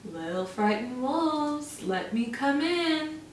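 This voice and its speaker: A woman voicing a big bad male pig, a gruff bully, drawing out each word slowly as she reads a storybook aloud. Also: sits high, expressive, a little hiss or hum. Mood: menacing. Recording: echoey room, mic far off.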